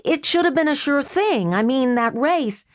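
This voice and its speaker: A whiny, feckless grown man in an audiobook, pitched high and squeaky as he pleads and squeals, with a woman reading him. His words come in nervous little bursts, and the sound is close and dry. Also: lively intonation, moderate pace, no echo. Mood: sheepish.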